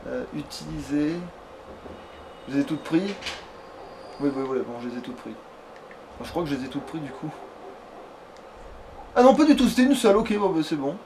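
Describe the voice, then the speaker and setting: A man's voice: fairly high pitch, lively intonation, moderate pace, long pauses, darkish tone, slight background noise, poor audio. A man giving casual let's play commentary, rambling and playful, swearing now and then. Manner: rambling, playful, mildly foul-mouthed. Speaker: let's player, playing and hosting the video.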